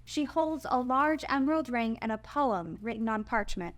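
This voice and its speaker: High-voiced woman reading stage directions. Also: expressive, moderate pace. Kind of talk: scripted screenplay narration.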